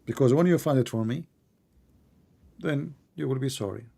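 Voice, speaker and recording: A man's voice: medium pitch, moderate pace, creaky, warm tone. A man whose first language is Arabic, hosting a live online debate and pressing his point insistently, often repeating himself. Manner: insistent, repetitive, talks over the caller. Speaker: debate host.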